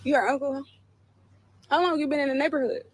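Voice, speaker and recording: A woman's voice: high voice, fairly even intonation, quick pace. A woman in a played clip, talking casually to the camera in a defiant, preachy manner about faith and spirits.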